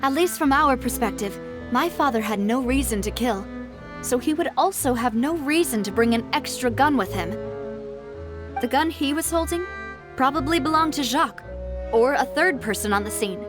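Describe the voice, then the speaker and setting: A young lady leader in a game, high-pitched and articulate. She is kind but resolute and composed, over faint background music. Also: animated, moderate pace. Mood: composed, serious. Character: determined, kind young lady boss seeking the truth. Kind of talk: game cutscene dialogue.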